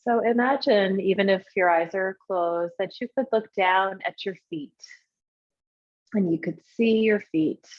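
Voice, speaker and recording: A woman leading a guided visualization as a meditation guide, speaking in short, unhurried instructional phrases with pauses between them, in a slightly husky voice. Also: mid-range voice. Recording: muffled, roomy, echoey sound.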